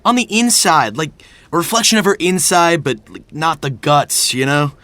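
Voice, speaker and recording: An actor playing a crude but well-meaning young buddy in a comic audio drama, rambling, blunt and slangy. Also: male voice, a little high, big pitch swings, moderate pace, pushed and bright, no echo. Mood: eager, rambling. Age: young adult. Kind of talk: audio drama romantic comedy acting.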